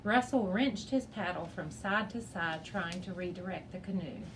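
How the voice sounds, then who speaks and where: A woman reading a children's chapter book aloud as a teacher, in an expressive storybook style. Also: lowish voice, normal speed, background noise.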